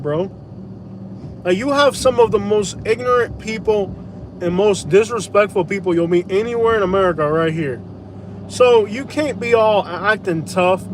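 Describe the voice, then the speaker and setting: A man streams live from his car at night and gives his blunt, slangy opinions. His voice sits fairly high. A faint hum of road noise runs under him. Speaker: vlogger. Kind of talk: live-stream rant while driving.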